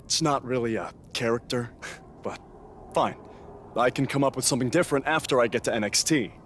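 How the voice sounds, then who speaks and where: A man's voice: medium pitch, a little fast, a bit bright, a little hiss or hum, lightly acted. An actor playing a cocky, resentful young male wrestler in a video game cutscene, boastful and sarcastic. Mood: indignant, bitter.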